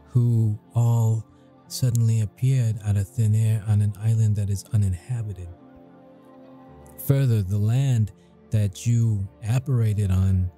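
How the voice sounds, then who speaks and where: An actor playing a grumpy but caring military intelligence interrogator in an ASMR audio roleplay, speaking in measured, halting phrases. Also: male voice, fairly low pitch, mellow, natural voice. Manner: measured, halting, deliberate delivery.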